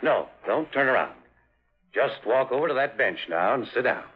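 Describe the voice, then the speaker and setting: An actor playing a cold, curt hired killer in a 1950s hardboiled radio drama, giving threatening commands. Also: male voice, medium pitch, lively intonation, normal speed, slightly rough. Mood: curt menace. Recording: dull old radio recording.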